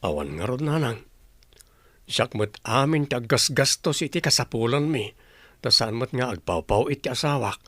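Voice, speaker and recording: A man playing a gentle, struggling father in a radio drama, hesitant and placating. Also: medium pitch, expressive, normal speed, no room sound. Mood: resigned, pleading. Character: struggling, gentle, resigned father of a young child. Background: music.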